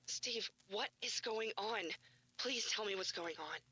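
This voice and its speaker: An actress playing a distraught, defiant widow, emotional and insistent, in a found-footage horror drama. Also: female voice, mid-range voice, measured pace, sharp tone, breathy edge. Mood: frightened, pleading.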